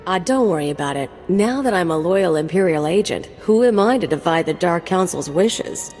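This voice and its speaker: Sardonic, lowish female companion played by an actress. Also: animated, moderate pace, a little mellow, in a large hall, soft background music, close to an everyday voice. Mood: sarcastic. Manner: sarcastic, offhand. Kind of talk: video game dialogue acting.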